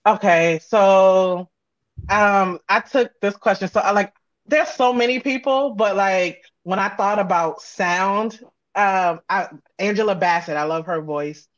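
Dull close dry recording, woman interview guest, conversational, candid, reflective, mid-pitched. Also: measured pace, no echo. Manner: candid, reflective, quotes own self-talk. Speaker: interview guest, audio drama creator. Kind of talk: casual conversational interview answers.

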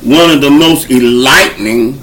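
A man's voice: mid-range voice, normal speed, a bit bright, slight background noise, low-quality recording. A man hosting a show and preaching in a folksy, conversational way, urging his listeners along. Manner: folksy, conversational preaching with call-and-response encouragement. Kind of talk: informal sermon teaching.

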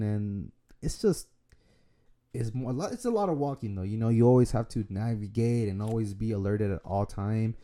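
Raspy, very dark-voiced man podcasting. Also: medium pitch. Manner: rambling, loose, full of fillers and restarts. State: raspy from allergies. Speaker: podcast host. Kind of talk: casual solo podcast talk.

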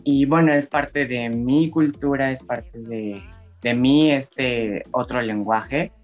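A young adult singer from Mexico City with a Mexican accent, answering questions in a relaxed, hesitant way with frequent fillers. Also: mid-range voice, faint music behind. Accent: Mexican Spanish. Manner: slow and relaxed, laughs often, hesitant fillers. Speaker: singer being interviewed.

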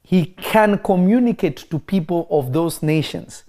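A man who grew up in Kenya, preaching in a relaxed interview and driving his points home by repeating key phrases. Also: a little high, measured pace. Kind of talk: conversational preaching.

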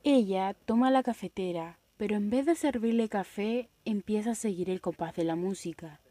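A woman reading stage directions aloud for a radio play in an even, measured way. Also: medium pitch, no room sound. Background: faint jazz music.

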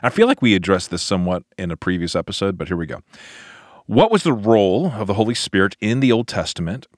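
A man's voice: fairly low pitch, big pitch swings, quick pace, no room sound, studio quality. A man speaking as a podcast host and pastor, in a casual, conversational way.

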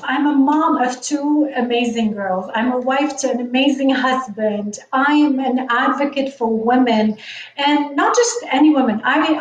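A woman's voice: high voice, a little hiss or hum. A woman from Lebanon in her thirties or forties, a business coach telling her story in an earnest, reflective way. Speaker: guest, online business coach. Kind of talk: conversational podcast interview. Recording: echoey, distant, dull sound.

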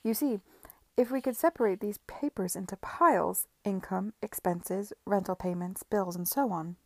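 A woman's voice: mid-range voice, normal speed, dry recording, close to an everyday voice. A woman voicing a capable young woman who speaks eagerly and helpfully.

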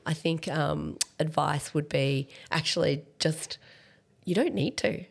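A woman chats easily as a podcast co-host, her voice low and gravelly. She sounds croaky from coughing, and she fills her talk with likes and ums and laughs often. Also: slow pace. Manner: chatty, laughs often, many fillers. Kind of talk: casual chat.

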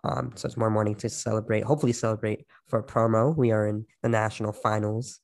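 A man's voice: fairly low pitch, flat delivery, fast, vocal fry, a little mellow. A young man co-hosting a podcast over a video call, chatting casually, teasing his friend and laughing often. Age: young adult. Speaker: podcast co-host. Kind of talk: casual chat.

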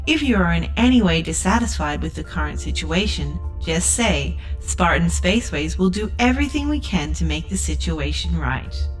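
A woman as a relentlessly polite, low-pitched spaceship AI. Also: animated, moderate pace, few pauses, soft background music, natural voice. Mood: politely scripted. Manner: polished, scripted, saleswoman-like politeness. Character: relentlessly cheerful, customer-service-obsessed female spaceship AI. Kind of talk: sci-fi audio drama acting.

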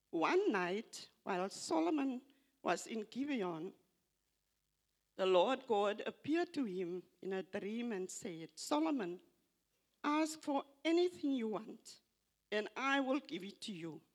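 An older woman with an Afrikaans accent, English not her first language, slowly reading scripture aloud and reflecting on it at a church gathering, halting and repeating phrases. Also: on the high side. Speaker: church member giving a tribute.

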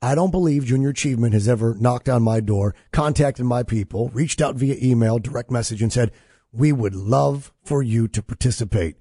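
A man's voice: medium pitch, moderate pace, dark tone, husky, no room sound, clean studio sound. A man hosting a talk show who talks in a joking, playful and emphatic way. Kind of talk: casual chat.

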